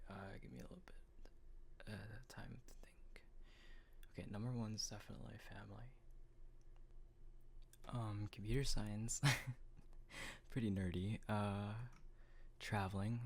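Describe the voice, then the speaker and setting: A man, a voice actor, answering fan questions in a soft, half-whispered way, hesitant and full of pauses. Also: on the low side, fairly even intonation, vocal fry, slightly rough. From Texas, US. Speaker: voice actor, channel host.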